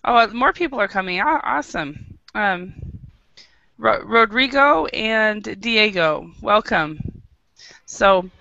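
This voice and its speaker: A woman's voice: medium pitch, animated, takes it slowly, vocal fry, pushed and bright, muffled recording. Upbeat American woman teaching on a call. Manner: upbeat, encouraging, frequent short affirmations. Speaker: English teacher. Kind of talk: online group English lesson.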